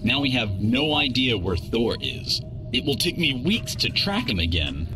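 A man's voice: mid-range voice, very animated, moderate pace, over music, natural voice. A man playing a pompous, exasperated robot boss in a video game, theatrical and scornful with underlings. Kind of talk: video game cutscene acting.

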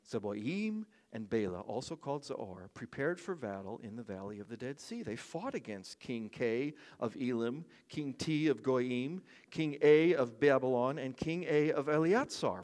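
An older man preaching a sermon from a church stage lectern, conversational, with joking asides. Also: a little high. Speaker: pastor.

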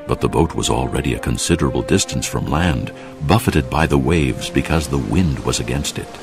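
A man narrating a dramatised Bible audiobook in a measured, solemn storytelling way. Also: very deep voice, creaky.

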